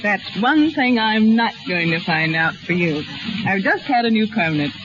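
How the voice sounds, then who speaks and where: A woman's voice: mid-range voice, lively intonation, moderate pace. A woman telling scripted anecdotes as a 1930s radio guest, in a dry, wisecracking manner. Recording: noisy muffled 1930s radio recording.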